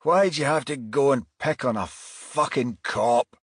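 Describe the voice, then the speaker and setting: A man's voice: medium pitch, unhurried, breathy edge, no room sound, clean studio sound, pitched above the performer's own voice. A man voicing a tall drunken thug with slurred, loutish speech in an audiobook. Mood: dismayed.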